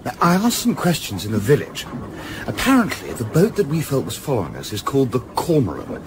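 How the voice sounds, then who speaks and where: A man acting an eager, patriotic young English gentleman yachtsman in a radio play, with a hearty but hesitant old-fashioned manner. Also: medium pitch, huge pitch swings, fairly quick. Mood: intent. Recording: clearly noisy outdoor effects behind voice.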